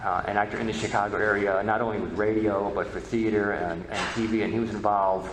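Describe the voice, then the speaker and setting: A man giving an informal history lecture as presenter, halting and often repeating words. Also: on the low side, level tone. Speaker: presenter, media history researcher. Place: presentation room at a convention. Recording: echoey room with background noise.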